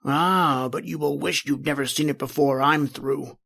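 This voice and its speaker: A man's voice: on the high side, steady tone, moderate pace, creaky, sharp tone, exaggerated voice. A man playing a bitter, imperious, dying old uncle in an old-time radio horror play, full of menace. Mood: menacing.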